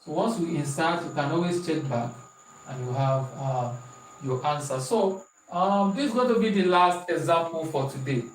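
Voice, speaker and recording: Nigerian maths teacher going slow over examples, repeats himself a lot, roomy sound with faint hiss. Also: male voice, a little high, far from the mic. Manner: slow, repeats questions and calls out students' answers. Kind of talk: online maths lesson, working through examples. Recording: echoey room, voice off-mic, faint hiss.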